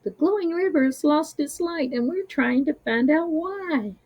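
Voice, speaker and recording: A woman's voice: high voice, animated, a little slow, darkish tone, muffled recording, voice pushed up. A woman voicing a caring, polite young male sloth in a children's storybook, with an earnest, reassuring manner. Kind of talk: children's storybook read-aloud.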